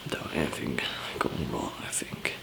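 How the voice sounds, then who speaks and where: A man doing a whispered ASMR roleplay as a calm apocalypse survivor, murmuring in a hesitant, halting way. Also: extremely low pitch, creaky. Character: calm zombie apocalypse survivor handing out supplies.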